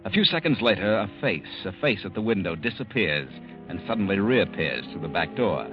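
A man narrating a 1940s radio drama as a grave storyteller, measured and dramatic. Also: sits low, very animated. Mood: grave, suspenseful. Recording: dull old radio recording. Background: dramatic music.

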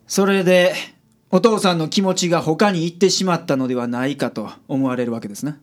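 A man playing a gruff, businesslike private detective with a Kansai accent in a radio drama. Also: fairly high pitch, fairly quick, lightly acted. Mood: serious, composed.

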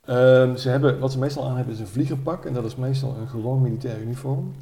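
Male expert interviewee, very soft-edged dark tone, informal and halting, reverberant room. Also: medium pitch. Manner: hesitant, piecing thoughts together. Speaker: expert interviewee, military historian. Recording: echoey room, faint noise.

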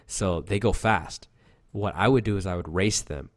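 A man's voice: sits low, normal speed, dry recording, studio quality. A young man co-hosting a podcast, telling loose, rambling stories full of fillers and swearing, with a voice that sounds youthful. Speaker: podcast co-host. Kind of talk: casual chat.

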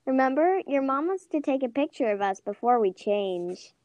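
A woman's voice: high-pitched, lively intonation, normal speed, darkish tone, no echo. A woman playing a plaintive young schoolgirl in an amateur audio play. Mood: chatty. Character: Susan, plaintive treat-loving little schoolgirl.

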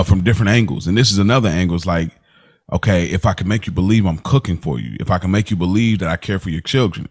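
A man co-hosting a casual podcast, giving long, relaxed explanations and laughing easily. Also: on the low side. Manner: laid-back, drawn-out explanations, laughs easily. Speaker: podcast co-host. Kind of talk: casual TV recap chat.

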